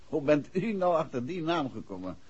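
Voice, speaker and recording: Courteous investigator, lively husky male voice. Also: on the high side, heavily acted. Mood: amused, curious. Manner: polite, coaxing. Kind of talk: 1960s radio drama acting. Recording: muffled old 1960s radio recording.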